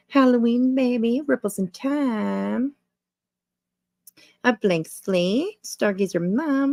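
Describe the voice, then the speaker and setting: A woman's voice: mid-range voice, gravelly, a little mellow, no echo, studio quality. A woman hosting a casual livestream, chatting informally to viewers in a rambling way and repeating her phrases. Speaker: livestream host.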